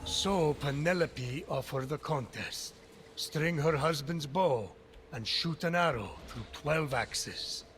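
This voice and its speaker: A man playing a wise, formal immortal who narrates Greek myths in a measured, grave manner for a video game. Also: on the high side, a bit bright, slight background noise. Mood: grave, storytelling. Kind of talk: scripted narration. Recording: music behind the voice.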